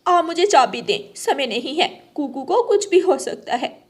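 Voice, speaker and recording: Woman as firm, high-voiced mother crow. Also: expressive, a little slow. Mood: urgent. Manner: urgent, firm.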